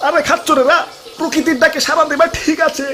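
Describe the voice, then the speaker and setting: A man's voice: very high-pitched, fairly even intonation, fast, bright, forceful tone. A man voicing a self-pitying, indignant city wall in a comic cartoon, whining in Bangladeshi dialect over music. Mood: aggrieved. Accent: Bangladeshi, Dhaka-area dialect. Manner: whining, aggrieved.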